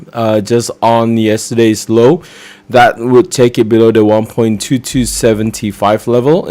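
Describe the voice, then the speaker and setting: A man's voice: medium pitch, warm tone. A man losing his voice, presenting a live forex trading session and explaining charts step by step with many fillers.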